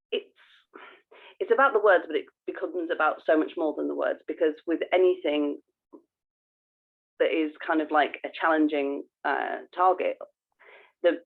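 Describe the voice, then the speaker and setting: Narrow phone-quality audio, woman, slightly low pitch, casual podcast co-host, informal and self-interrupting. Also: gravelly.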